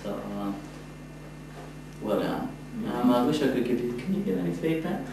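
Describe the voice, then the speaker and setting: Male yoga trainer, encouraging and halting, heard at a distance in a very reverberant room. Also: medium pitch, very animated, gravelly. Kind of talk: spoken feedback in a group class. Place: echoey indoor room, from a distance. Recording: echoey room, distant mic, faint noise.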